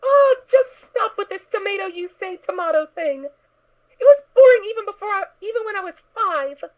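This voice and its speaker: A woman's voice: very high voice, expressive, moderate pace. A woman voicing a naive, cheerful little filly pony in an audio drama, bubbly, childish and stubborn. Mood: puzzled, huffy. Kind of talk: fan audio drama acting. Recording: tinny, narrow phone-like audio.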